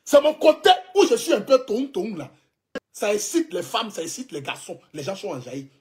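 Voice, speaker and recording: A man's voice: high-pitched, sing-song, moderate pace, a little mellow. Fervent Ivorian man preaching on livestream. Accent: Ivorian French. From Ivory Coast. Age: 30s. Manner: preachy, fervent, repetitive, defiant. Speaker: social media blogger. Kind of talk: emotional livestream address. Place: indoors, clothing rack behind.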